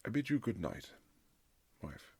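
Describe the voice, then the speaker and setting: A man playing a reserved aristocratic husband in an intimate romantic period audio drama, speaking formally and haltingly with earnest tenderness in a gravelly, husky voice. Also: on the low side, sing-song, a little mellow, exaggerated voice. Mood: hesitant, resigned. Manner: formal, old-fashioned, halting, earnest phrasing.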